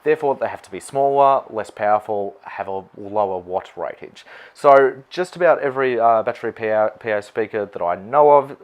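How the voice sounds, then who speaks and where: Australian male presenter, fairly low register, unscripted, chatty product walkthrough. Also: normal speed. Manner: informal, conversational, mildly sweary. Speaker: wedding celebrant presenting his gear. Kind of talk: unscripted product explainer to camera.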